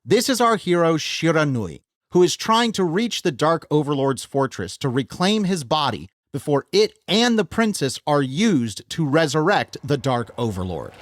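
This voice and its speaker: A man with a North American accent hosting a scripted video essay, enthusiastic and wry, with self-deprecating joking asides. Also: fairly high pitch. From United States. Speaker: host of a film video essay channel.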